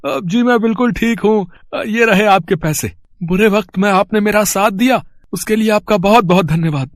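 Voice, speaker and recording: A humble poor village vendor played by a man in a storybook drama. The voice is high for a man and dry, with an earnest, hesitant, polite manner. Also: moderate pace, no room sound. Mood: polite, grateful. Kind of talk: acted storybook dialogue.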